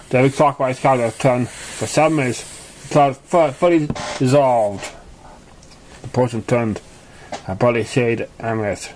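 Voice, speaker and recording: A man reading a story aloud as an amateur narrator, with a halting delivery that stumbles over words. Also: medium pitch, darkish tone. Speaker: amateur fan fiction reader.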